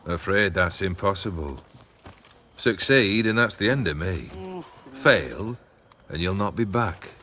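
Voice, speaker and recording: An actor playing a fearless, brooding brigand lord in a video game, with grand, archaic, theatrical phrasing. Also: male voice, deep voice, big pitch swings, takes it slowly, sharp tone, a little hiss or hum, full character voice. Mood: commanding, wistful. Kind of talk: dramatic video game voice acting.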